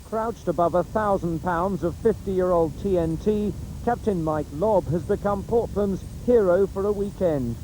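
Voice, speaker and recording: A man's voice: sits high, a little slow, mellow, a little hiss or hum, poor audio. A man with a British accent narrating a scripted television news report.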